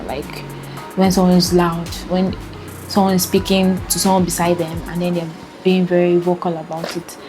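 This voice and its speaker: A young Nigerian woman with a Nigerian accent, chatting casually to the camera in a vlog, laughing often and filling her talk with "like". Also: fairly low pitch. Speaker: vlogger.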